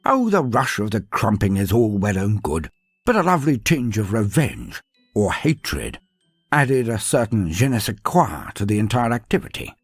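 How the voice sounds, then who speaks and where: A man's voice: fairly low pitch, husky, dry recording. A man narrating a scripted fantasy story in a theatrical, florid and whimsical way. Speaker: narrator of fantasy lore stories.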